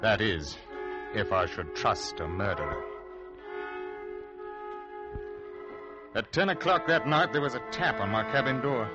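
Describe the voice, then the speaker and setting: A man playing a stern, suspicious ship's captain in an old-time radio drama, with measured, sometimes halting delivery. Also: lowish voice, expressive, over loud music, natural voice. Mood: grave, recounting. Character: wary, stern male ship's captain, grudgingly bargaining. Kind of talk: old-time radio drama acting and first-person narration. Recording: noisy, muffled vintage radio recording.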